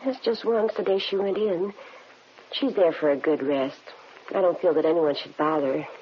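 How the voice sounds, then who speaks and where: An actress playing a genteel, evasive housekeeper on parole, hesitant and polite, in a 1950s radio drama. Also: female voice, fairly low pitch, normal speed, lightly acted. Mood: evasive, apologetic. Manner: genteel, hesitant, smooth excuses. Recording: muffled old radio recording, faint noise.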